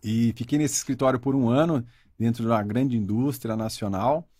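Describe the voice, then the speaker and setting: A man's voice: mid-range voice, normal speed, dark tone, dry recording, clean studio sound. Talkative Brazilian man in his forties. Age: 40s. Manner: talkative, reflective, frequent "né?" tags. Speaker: interview guest. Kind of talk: casual interview conversation.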